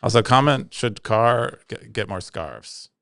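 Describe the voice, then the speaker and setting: A man's voice: medium pitch, lively intonation, a little slow, a bit bright, no room sound, clean studio sound. A man co-hosting a casual podcast, explaining technical things loosely and peppering his talk with "like". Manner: explains tech terms casually, heavy use of "like". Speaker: podcast co-host. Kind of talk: casual chat. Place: room by a window.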